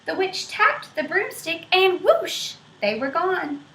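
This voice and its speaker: A woman reading a picture book aloud to children in an expressive way, stopping to ask them questions. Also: extremely high pitch. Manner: expressive, pausing to quiz young listeners. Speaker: storybook reader. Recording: roomy echo.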